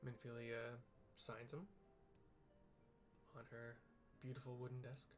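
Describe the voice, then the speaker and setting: A man's voice: medium pitch, little pitch movement, takes it slowly. A man giving casual, hesitant and self-deprecating game commentary, rambling as he describes what is on screen. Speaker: video game streamer and narrator. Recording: clearly audible background noise.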